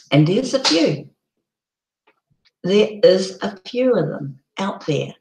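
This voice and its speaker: A woman hosting a casual live broadcast, chatting loosely with many ums and restarts, her voice croaky and hoarse. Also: mid-range voice, a little mellow, echoey room, poor audio. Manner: rambling, lots of ums and restarts. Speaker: live-stream host. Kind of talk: casual live-stream chat.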